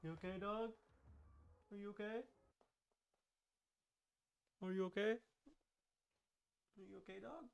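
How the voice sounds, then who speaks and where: A man's voice: high voice, steady tone, a little slow, creaky, long pauses, clean tone. A man giving casual gaming livestream commentary in short, playful asides, as if talking to the game and chat. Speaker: livestream host.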